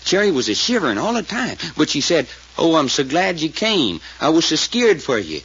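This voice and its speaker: Folksy male sidekick, drawl, very animated, slightly high, for a dull-sounding vintage radio mystery. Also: moderate pace, breathy edge, heavily acted. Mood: earnest recounting. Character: folksy, excitable country-talking male detective sidekick. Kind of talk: 1940s radio drama acting. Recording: muffled, noisy old radio recording.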